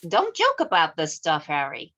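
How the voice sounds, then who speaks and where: A woman playing a spirited, devoted high school girl in a script table read, with expressive, emotional delivery. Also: normal speed, lo-fi sound, full character voice. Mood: earnest, hesitant.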